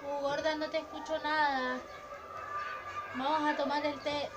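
A woman's voice: high-pitched, flat delivery, unhurried, over music. A woman playing an easygoing, bickering schoolgirl with an Argentine accent in an amateur radio play. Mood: casual. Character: easygoing, tardy schoolgirl who bickers with her friend. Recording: noisy amateur recording with clicks.

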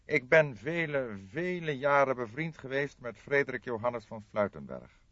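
A man voicing a courteous, apologetic gentleman in his forties in an audiobook, speaking formally and emphatically. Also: medium pitch, expressive, moderate pace, no room sound, pitched above the performer's own voice, natural voice. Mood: formal, forthcoming. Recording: dull, dry lo-fi audiobook recording.

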